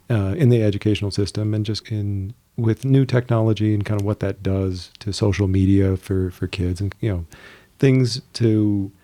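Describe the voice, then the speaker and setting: A man in his forties, a guest on a podcast, talking casually in a measured way with many fillers and restarts. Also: on the low side, dark tone, dry recording. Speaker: podcast guest, VP of operations and compliance. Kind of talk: casual interview talk.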